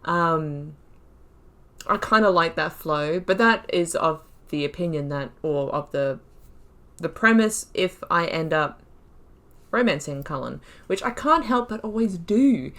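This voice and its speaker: A woman's voice: sits low, animated, a little slow, a bit bright. A woman hosting a gaming video, thinking aloud in casual, informal, wry commentary as she talks through the story.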